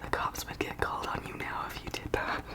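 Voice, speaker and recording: A woman whispering softly in an ASMR style, breathy and unvoiced, with gentle, deliberate delivery and long pauses. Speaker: ASMR channel host. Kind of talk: soft whispered ASMR, chatting and reading aloud.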